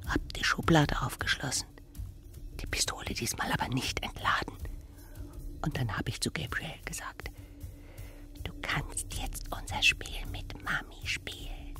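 An actress playing a bitter, vengeful, murderous aunt in a German radio crime drama. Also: female voice, fairly bright tone, heavily acted. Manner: hissing whispers rising to shrill outbursts.

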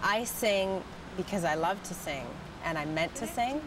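Soft-spoken young woman, distant mic. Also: lowish voice, expressive, unhurried, background noise. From Canada and Portugal. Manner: thoughtful, earnest, with many fillers. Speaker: singer. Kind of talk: interview. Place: outdoors by a harbor railing. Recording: distant mic with music behind.

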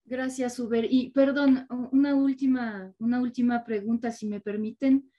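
A woman's voice: a little high, level tone, moderate pace, highs cut off. A native French speaker, a woman, politely asking a question in an online talk, hesitant and restarting words. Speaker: audience member asking a question. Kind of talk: asking a question on a video call.

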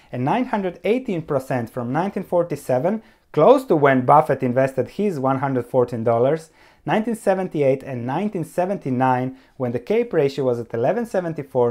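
Animated man in his thirties explaining. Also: on the high side. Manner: animated, gesturing with both hands, emphatic. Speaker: investing video host. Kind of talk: solo explainer to camera. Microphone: lapel mic.

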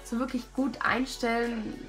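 A hoarse young woman with a cold, talking casually and self-critically over a video of her riding. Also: on the high side, little pitch movement, unhurried, fairly bright tone, soft background music, poor audio. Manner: self-critical, chatty, many fillers. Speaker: rider commenting her own show-jumping test video. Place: at home, voice-over.

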